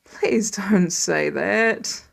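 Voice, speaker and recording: A woman's voice: mid-range voice, drawn-out words, vocal fry, pitched below the performer's own voice, heavily acted. A wry middle-aged father, as a female narrator puts him on, grumbling with tired sarcasm and underlying affection. The delivery belongs to an audiobook story. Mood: weary.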